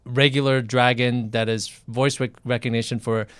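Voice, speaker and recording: Smooth-voiced doctor chatting candidly. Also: male voice, medium pitch, level tone, fairly bright tone, no echo. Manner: chatty, candid, halting restarts. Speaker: physician, interviewer. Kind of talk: casual interview over video.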